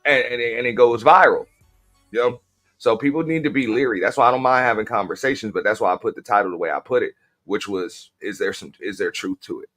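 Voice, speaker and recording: A man's voice: fairly low pitch, quick pace, a bit bright, faint music behind. A man hosting a casual live-stream talk show, speaking bluntly with a lot of profanity. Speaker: host.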